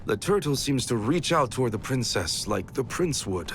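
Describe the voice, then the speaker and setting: A man's voice: mid-range voice, big pitch swings, normal speed, a little hiss or hum. An actor playing a skeptical, matter-of-fact male adventurer in a video game, dry and blunt. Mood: grudging. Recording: game music behind the voice.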